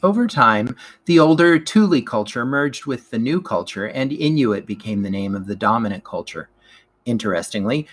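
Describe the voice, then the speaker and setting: A man's voice: mid-range voice, fairly bright tone, breathy edge. Male narrator, mid-range voice, teacher reading scripted classroom material aloud.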